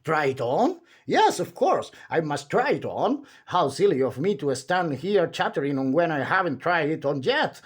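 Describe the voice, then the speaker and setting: Male reader as cheerful storybook chatterbox: high, swooping voice, fussy, self-interrupting, endlessly rambling. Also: big pitch swings, moderate pace, hardly any pauses, husky. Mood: excited, delighted.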